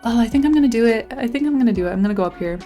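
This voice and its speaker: A woman's voice: fairly high pitch, lively intonation, racing, dark tone, soft background music. A young woman giving casual, gushing commentary while she tours a game island, her voice a little worn from losing it lately. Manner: bubbly, gushing, exclamatory. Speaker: channel host touring a game island.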